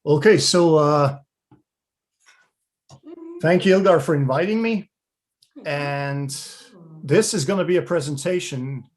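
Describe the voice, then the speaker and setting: A man from Slovenia giving a webinar talk over a video call, in a relaxed and chatty way. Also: on the high side, slow pace, long pauses. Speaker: guest speaker. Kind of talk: presenting a webinar.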